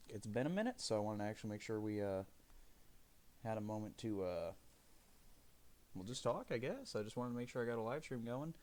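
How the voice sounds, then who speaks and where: A man live streaming from his home desk, his voice raspy from phlegm, rambling casually with many fillers and restarts. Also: on the low side, darkish tone. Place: desk corner of a home living room.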